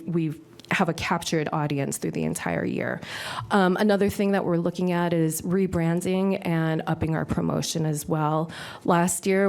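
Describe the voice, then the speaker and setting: A woman presenting to a city council at a podium microphone, her voice a little scratchy from a cold, making an upbeat, earnest pitch with frequent ums. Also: darkish tone. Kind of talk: public presentation at a council meeting.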